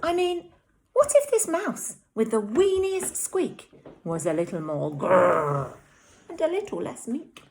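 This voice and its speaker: Storybook role: small, hopeful brown mouse played by a woman, high squeak, timid good manners, lively melody. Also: slow, background noise, full character voice. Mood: wistful, eager. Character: tiny meek brown mouse, hopeful and polite. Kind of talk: children's picture-book storytelling.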